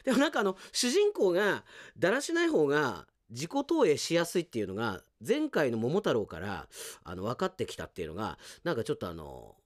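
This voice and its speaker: Very animated male radio host. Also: mid-range voice, brisk, no room sound, studio quality. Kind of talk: solo radio talk, reading listener mail. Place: radio studio.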